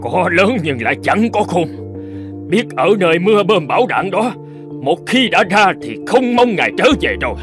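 Man acting a stern, animated cartoon father. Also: high voice, moderate pace. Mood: angry. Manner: commanding, emphatic, scolding. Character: stern, stubborn middle-aged Vietnamese village father. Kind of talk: animated cartoon drama dialogue. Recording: music behind the voice.

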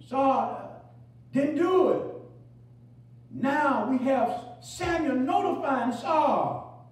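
Male preacher with a very high voice, call-and-response sermon, swings from shouts to murmurs, heavy echo, mic far off. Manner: fills pauses with "praise God" and "hallelujah"; repeats phrases, rising to shouts and dropping low. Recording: very echoey, from far off.

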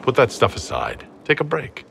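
An actor playing a calm, reassuring fantasy leader in a video game, speaking in a measured, gentle way. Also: male voice, medium pitch, creaky, slight background noise, full character voice. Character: calm, reassuring young-to-middle-aged male fantasy leader. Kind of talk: video game dialogue acting.

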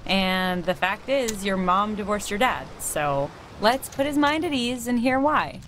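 A woman's voice: mid-range voice, expressive, a little slow, fairly bright tone, a little hiss or hum. A young woman playing a sarcastic, combative teenage girl in a naturalistic video game, dry and goading. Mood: needling. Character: snide, combative teenage girl, cool and needling.

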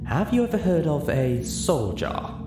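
Guy playing a posh ladylike unicorn mare, gossipy and measured, lifted pitch, roomy. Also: sing-song, over music. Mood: cautious.

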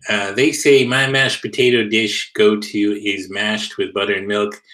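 A man's voice: lowish voice, level tone, unhurried, a bit bright. A man co-hosting a show over a video call, bantering casually and reading out comments. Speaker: show co-host.

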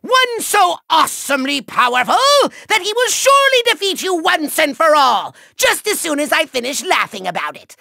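A man voicing a small, megalomaniac cartoon villain in a video game, with a shrill, gravelly, nasal voice and bombastic, gloating, theatrical delivery. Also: big pitch swings, sharp tone, dry recording, studio quality, full character voice. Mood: sneering, grandiose. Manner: bombastic, gloating, sneering delivery with drawn-out words.

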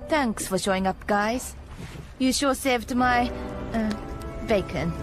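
An actress playing a cool, wry young secret agent, giving a measured briefing in a video game. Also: female voice, a little high. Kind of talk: video game cutscene acting. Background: music.

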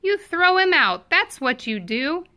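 A woman reading a children's picture book aloud expressively, with animated emphasis. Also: extremely high pitch, a little slow.

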